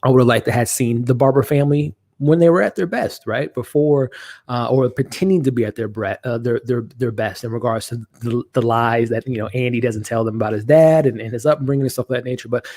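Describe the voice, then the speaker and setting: A man's voice: mid-range voice, a little fast, mellow, no room sound, clean studio sound. A man hosting a live watch-along stream, a bit nasally from allergies, talking casually in a rambling way with many restarts. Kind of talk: casual live-stream commentary, reading chat.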